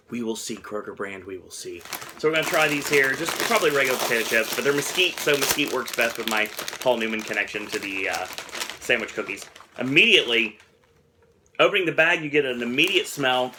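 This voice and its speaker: A man's voice: medium pitch, background noise. A man hosting a casual snack review vlog, rambling and chuckling at himself. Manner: rambling, chuckles often, self-deprecating. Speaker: host.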